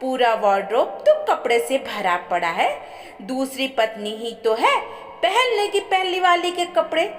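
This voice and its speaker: कहानीकार महिला की आवाज़ में रूखी, झिड़कती कंजूस सास. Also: female voice, high voice, big pitch swings, a little slow, faint music behind. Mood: scolding, dismissive. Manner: scolding, impatient. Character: brusque, miserly, dismissive middle-aged mother-in-law. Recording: echoey with long reverb.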